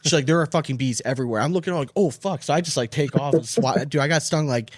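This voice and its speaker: A man's voice: fairly high pitch, quick pace, dry recording. A man co-hosting a podcast, telling loose, profane stories in casual banter. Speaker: podcast co-host.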